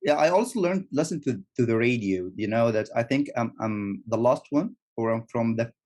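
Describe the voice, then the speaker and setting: Male guest speaking second-language English, halting, repeats words. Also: medium pitch, lively intonation, darkish tone. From Egypt. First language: Arabic. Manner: repeats words, restarts often. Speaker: guest, teacher trainer. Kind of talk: casual interview.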